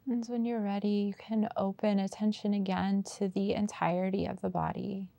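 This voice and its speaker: A woman guiding a meditation as its teacher, with unhurried, gentle prompts and long pauses. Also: mid-range voice, little pitch movement, gravelly, dry recording, muffled recording. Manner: slow, pausing, unhurried delivery with gentle prompts. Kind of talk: guided meditation.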